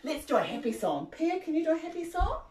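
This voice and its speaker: An older woman from New Zealand with a New Zealand accent, leading a children's rhyme and song session in an animated, cheerful way. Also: very high-pitched, fast, loud music behind the voice. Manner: cheerful, animated, sings and gasps to engage toddlers. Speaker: library rhymetime presenter. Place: small carpeted room indoors. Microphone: far camera. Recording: roomy sound from a far-off camera.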